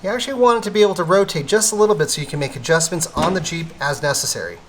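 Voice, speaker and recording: High-voiced man giving methodical how-to instruction. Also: very fast, noisy background. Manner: methodical, explanatory. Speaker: presenter of a product installation tutorial.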